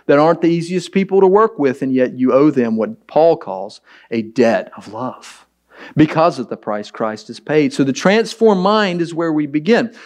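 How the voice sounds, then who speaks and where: A hoarse man with a raspy, deep voice, preaching a conversational and earnest sermon as pastor of his church. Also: darkish tone. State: hoarse from preaching late the night before.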